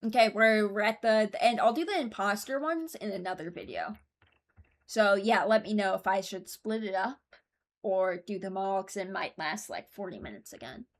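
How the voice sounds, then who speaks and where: Casual woman thinking aloud, mid-pitched. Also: moderate pace. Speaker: video creator and host. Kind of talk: casual, rambling commentary ranking voice lines.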